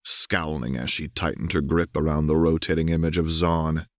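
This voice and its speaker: A man's voice: extremely low pitch, level tone, normal speed, dry recording, studio quality. A man reading a fiction audiobook as narrator, in dramatic scripted narration.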